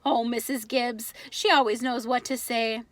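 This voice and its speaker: Woman acting a high, cheerful storybook immigrant mother. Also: fairly even intonation, measured pace, no echo, natural voice. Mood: amused.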